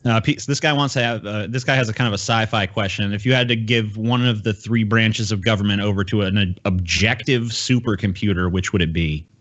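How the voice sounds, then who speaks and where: A man's voice: fairly low pitch, fairly quick, hardly any pauses, fairly bright tone. A man hosting a live podcast, casually reading out viewer messages and chatting about them. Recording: slightly dull, lo-fi stream audio.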